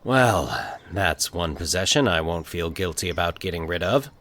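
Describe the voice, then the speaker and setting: A man's voice: low voice, expressive, moderate pace, fairly bright tone, voice pushed down. A man voicing a grieving man who talks to himself, wistful but resolute, in an audio story. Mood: wistful, resigned. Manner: muttering, musing to himself.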